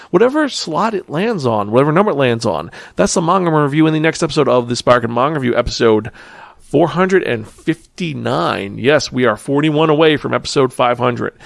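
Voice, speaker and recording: A man with a fairly high, noticeably creaky voice hosts a casual review show. He is enthusiastic and emphatic, often repeating words for stress as he talks straight to his listeners. The sound is dry, with no room echo. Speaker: podcast host and manga reviewer.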